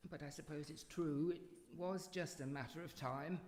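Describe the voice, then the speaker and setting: A woman's voice: low voice, fairly even intonation, moderate pace, darkish tone, close to an everyday voice. A woman playing a courteous, sympathetic old friend in a live radio drama, with a polite, genteel manner. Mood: subdued, soothing. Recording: echoey hall, live stage performance.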